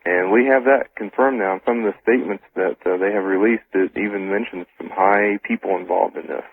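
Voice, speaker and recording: A man giving an informal first-hand account as a guest on a radio interview, halting and repeating phrases, over a telephone. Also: on the low side, fairly even intonation, slightly rough, no echo. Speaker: interview guest, former military intelligence serviceman. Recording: thin phone line on old radio.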